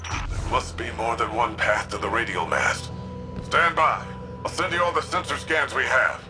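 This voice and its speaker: An actor playing a grave, dutiful guardian of a city in a video game, serious, measured and guarded. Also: male voice, mid-range voice, over music, close to an everyday voice. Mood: alert. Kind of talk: dramatic video game acting.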